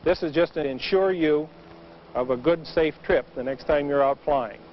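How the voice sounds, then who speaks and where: A man's voice: a little high, moderate pace. A man explaining things in a matter-of-fact, instructive way as a maintenance expert in a training film. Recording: muffled noisy vintage training film soundtrack.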